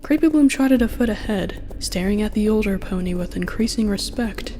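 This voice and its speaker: A woman's voice: mid-range voice, lively intonation, normal speed, warm tone, soft background music. A woman reading a scripted story aloud as its narrator. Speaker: narrator and reader.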